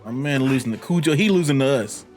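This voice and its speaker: A man's voice: medium pitch, expressive, fast talker, a little mellow, soft background music. An American man giving casual, slangy reaction commentary as a gaming streamer. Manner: slangy, colloquial.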